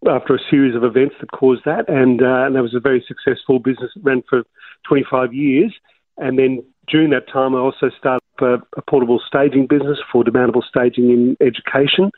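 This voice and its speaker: A man's voice: mid-range voice, no room sound. Australian man in his sixties on a tinny line. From Melbourne, Australia. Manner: rambling, many restarts and fillers. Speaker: interview guest. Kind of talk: casual interview storytelling. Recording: dry, tinny phone-like line.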